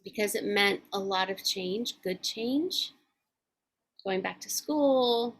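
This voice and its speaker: Woman, 40s, podcast co-host. Earnest and reflective, with measured delivery at a mid pitch. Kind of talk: casual chat.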